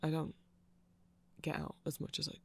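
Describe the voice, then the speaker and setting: A nerdy vampire shopkeeper played by a performer chats in an ASMR roleplay with a gravelly fry in the voice. The delivery is awkward and apologetic, full of stammers and rambling half-sentences, polite throughout. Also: medium pitch, completely flat delivery, moderate pace, a bit bright. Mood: shy, rambling. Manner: hesitant, flustered, stammering, apologetic.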